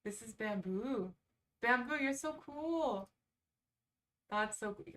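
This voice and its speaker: Bright-voiced, slow-paced woman streamer, slightly distant. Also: fairly high pitch, expressive. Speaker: livestream host. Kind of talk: casual livestream chat, reading quizzes aloud.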